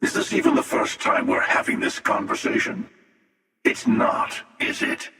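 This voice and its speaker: Gravelly, echoing, cynical hunter. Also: male voice, extremely high pitch, wildly swooping pitch, sharp tone, heavily acted. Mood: amused, weary. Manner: sardonic, unhurried, theatrical. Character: cynical world-weary male multiverse hunter, menacing and amused. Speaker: video game voice actor. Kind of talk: scripted video game character dialogue. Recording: cavernous echoing reverb, distant.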